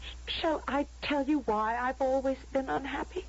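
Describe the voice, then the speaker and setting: An actress in an old radio drama playing an unhappy, defiant, lovesick young woman, plaintive and halting. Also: high-pitched. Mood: aching, sorrowful. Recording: dull lo-fi vintage radio drama recording.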